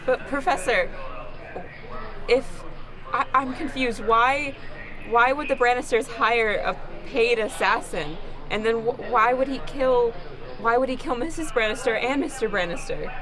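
A woman playing an earnest, insistent young prisoner who pleads haltingly in an improvised old-time radio play. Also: a little high, crowd noise, lightly acted. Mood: confused.

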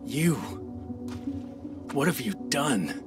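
An actor playing a hostile, embittered space technician holding a captive in a dramatic game, forceful and accusing. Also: male voice, mid-range voice, expressive, extremely slow, far from the mic, loud music behind the voice.

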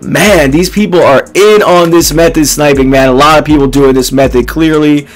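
A young man in his twenties with a hoarse, nearly gone voice, casually commenting on a game to his viewers in a small dark room. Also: a little high, moderate pace, faint music behind. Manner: laid-back, filler-heavy, addresses viewers as boys. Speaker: gaming YouTuber.

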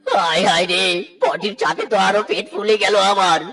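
চড়া গলার নাটুকে বাঘ মোড়ল. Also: male voice, high-pitched, big pitch swings, normal speed, pushed and bright, slightly rough, faint music behind, exaggerated voice. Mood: desperate wailing. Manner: theatrical wailing, exclamatory. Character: greedy bullying tiger village chief, whiny and panicky. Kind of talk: cartoon voice acting.

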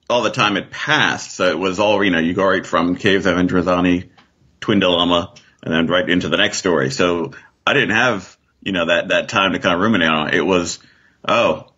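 A middle-aged American man chatting casually as a guest, in an informal, halting way. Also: low-pitched, bright, forceful tone, slightly rough, muffled recording. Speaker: podcast guest. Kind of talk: casual chat.

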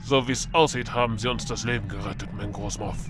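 An actor playing an older, stern and sceptical space admiral in an audio drama. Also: male voice, fairly low pitch, steady tone, fairly quick, background noise, close to an everyday voice. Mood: sceptical, formal.

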